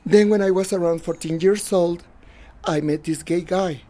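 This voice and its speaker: A man's voice: high voice, steady tone, normal speed, dark tone. A man reading a first-person storytelling voice-over as an English translation. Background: faint music.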